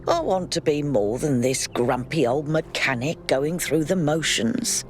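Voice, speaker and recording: A woman's voice: deep voice, animated, unhurried, faint music behind. A woman acting a grumpy robot mechanic in a video game, blunt and wry but hungry for life. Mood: wry, longing.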